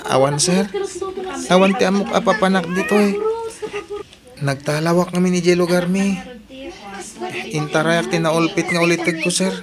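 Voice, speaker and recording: A man's voice: high voice, steady tone, moderate pace, long pauses, a crowd in the background, close to an everyday voice. A man playing a humble, lovestruck young runaway in a radio drama, polite and pleading with an Ilocano accent. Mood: humble, grateful. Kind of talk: Filipino radio drama acting. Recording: noisy radio drama recording.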